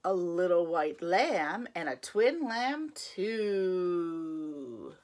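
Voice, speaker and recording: Slow, sing-song storytime teacher woman. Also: on the low side, very animated, darkish tone. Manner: sing-song, playful storytime delivery with gasps and counting. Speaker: preschool teacher. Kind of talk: reading a picture book aloud to young children.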